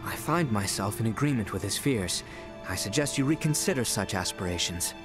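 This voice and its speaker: A man's voice: lowish voice, expressive, brisk, music in the background, natural voice. An actor playing a stern, loyal royal retainer in a video game, formal and admonishing in his phrasing. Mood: cautious, firm. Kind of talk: video game cutscene acting.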